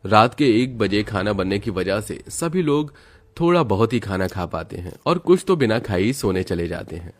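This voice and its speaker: A man with a low-pitched voice narrates a scripted family story. He delivers it as a storyteller reading prepared text.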